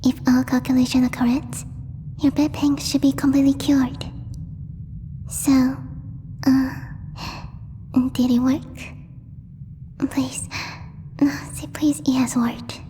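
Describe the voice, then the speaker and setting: A woman voicing a gentle, timid alien girl in a soft, breathy ASMR roleplay, speaking formally and haltingly as she searches for words. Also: high-pitched, level tone. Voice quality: breathy, whispery. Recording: long reverb, faint background noise.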